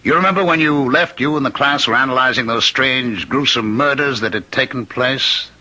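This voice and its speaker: An actor in a radio drama playing a cultured, eerily courteous condemned murderer who was once a professor, speaking in deliberate, theatrical phrases. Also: male voice, mid-range voice, sharp tone, rough recording. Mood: warm, admiring.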